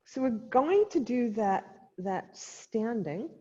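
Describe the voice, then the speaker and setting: A woman leading a guided chair yoga class as the instructor, giving gentle step-by-step cues with pauses, in a muffled, reverberant recording. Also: fairly high pitch, expressive, husky. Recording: dull sound in a long-reverb hall.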